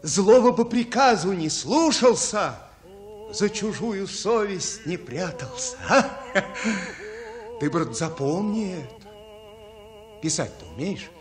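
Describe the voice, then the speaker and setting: An actor playing a lonely, gentle man in a Russian radio drama, musing and emotional in a halting way. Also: sits high, animated, soft background music. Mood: tender, wistful. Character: lonely, gentle, wistful man befriending a boy.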